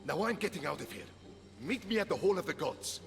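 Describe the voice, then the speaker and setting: A man's voice: high-pitched, animated, brisk, creaky. A man playing a melodramatic, boastful war god trapped in a bird's body in a video game. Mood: triumphant bluster. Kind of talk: video game cutscene acting.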